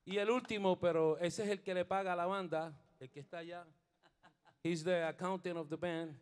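A middle-aged man from Rico, leading a band on a studio stage. He introduces the players into a stand microphone while playing bass. He mixes Spanish and English, pauses with fillers, and later turns fervent and preacher-like. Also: steady tone, clear, resonant tone. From Puerto Rico. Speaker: bandleader and bassist. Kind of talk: onstage band introductions and spiritual encouragement.

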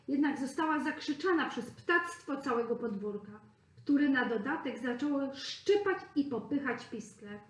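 A woman reading a fairy tale aloud to preschool children in an expressive, sing-song way. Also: sits high. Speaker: storyteller at a preschool. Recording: echoey room.

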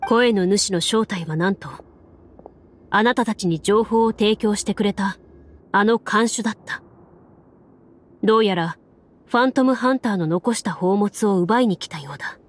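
An actress playing a composed woman duelist who narrates a game adventure in a measured, formal, dramatic way. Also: mid-range voice, natural voice. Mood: solemn, measured. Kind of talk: Japanese anime game narration. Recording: game music behind.

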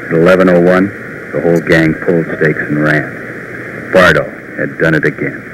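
A man playing a terse, deadpan detective narrator with an American accent in a 1950s cartoon commercial. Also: extremely low pitch, normal speed. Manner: clipped, deadpan police-drama delivery. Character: terse, hard-boiled male detective narrator in cartoon advert. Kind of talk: 1950s cartoon commercial, police radio drama parody. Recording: noisy old 1950s TV film soundtrack.

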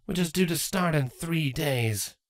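A woman voicing a stern changeling queen and mother in a storybook reading, measured, formal and commanding. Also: deep voice, animated, voice pushed up.

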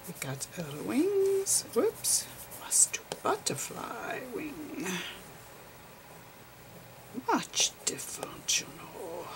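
A woman voicing a gentle, eccentric old male physicist, lecturing haltingly in a murmured ASMR role-play. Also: on the low side, vocal fry, a little hiss or hum, heavily acted, rough recording. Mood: whimsical, explaining. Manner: halting, whispery, murmured explanations with quaint formal phrasing.

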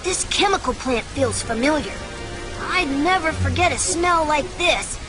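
A woman's voice: high-pitched, lively intonation, unhurried. A woman voicing an earnest, eager little boy fox sidekick in a video game. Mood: uneasy, curious. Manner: childlike, earnest. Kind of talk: video game cutscene acting. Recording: music behind the voice.

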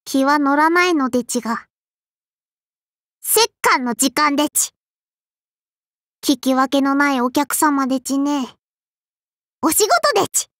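A woman's voice: sits high, lively intonation, takes it slowly, pauses often, fairly bright tone, no room sound, clean studio sound, full character voice. A woman voicing a tiny, prim and strict sparrow girl innkeeper with a childlike lisp and a cutesy verbal tic, in an anime mobile game. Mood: stern, exasperated. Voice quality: childlike, lisping. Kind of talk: Japanese anime mobile game character voice lines.

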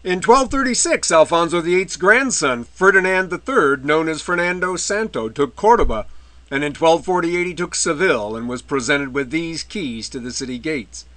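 A man giving an illustrated history lecture in a measured way, with the odd wry aside. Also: fairly high pitch, animated, fairly bright tone, no room sound. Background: faint medieval music.

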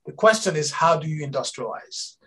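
A middle-aged man from Liberia, speaking in a measured way on a video call from a home office as interviewer. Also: a little high, lively intonation, bright, forceful tone, breathy edge. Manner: measured, repeats words when starting a point. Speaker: interviewer and energy advisor.

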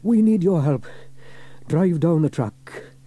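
A man's voice: fairly high pitch, lively intonation, slow pace, warm tone, pitched above the performer's own voice. A man voicing a friendly, capable firefighter hero in a children's story, with hearty delivery. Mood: urgent, commanding. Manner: hearty, clear commands.

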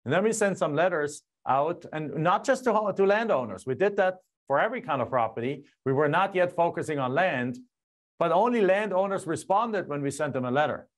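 A man's voice: a little high, fairly quick. A middle-aged man from Germany with a German accent, a guest telling his story animatedly in a casual interview, recorded on a lapel mic in a home studio. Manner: animated, gestures a lot, frequent restarts. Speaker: guest, land investor. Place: home studio with screen and map backdrop.

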